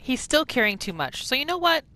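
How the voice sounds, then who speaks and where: A woman's voice: high-pitched, very animated, brisk, sharp tone. Woman casually narrating game roleplay, faint music. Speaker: gaming host and roleplayer. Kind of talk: casual third-person roleplay narration of gameplay.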